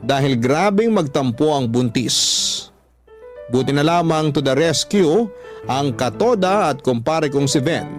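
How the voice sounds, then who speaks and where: An actor playing a weary tricycle driver telling his love story in first person for radio, measured and confiding. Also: fairly high pitch, lively intonation, darkish tone, faint music behind. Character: weary male tricycle driver recounting his relationship troubles.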